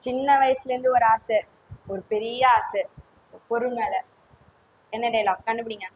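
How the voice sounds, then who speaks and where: Woman, very high voice, casual game-show host, teasing, some noise behind. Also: fairly even intonation, moderate pace, darkish tone, low-quality recording.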